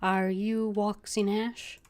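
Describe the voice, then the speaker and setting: A woman's voice: mid-range voice, flat delivery, slow pace, a little mellow. A woman streaming a game, reading dialogue aloud and commenting on it.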